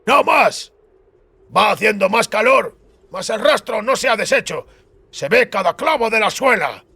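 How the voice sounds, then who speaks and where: A man's voice: high-pitched, animated, a little slow, vocal fry, pushed and bright, breathy edge, no echo, voice pushed up, heavily acted. A man voicing an anxious, watchful tracker who speaks warily and precisely in an audiobook. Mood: matter-of-fact. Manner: murmuring, wary.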